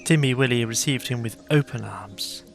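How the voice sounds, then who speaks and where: A male narrator reads a children's story aloud. His voice sits at a medium pitch with a gravelly creak, over faint background music. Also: normal speed. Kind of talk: scripted read-aloud narration of a children's tale. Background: soft music.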